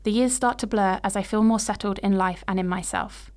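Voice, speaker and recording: A woman in her late twenties reads her own story in the present tense, with a smooth, clear voice. Her telling is measured and reflective, and her pitch sits in the middle range. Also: steady tone, darkish tone. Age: 20s. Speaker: podcast host. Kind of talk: reading a personal story aloud.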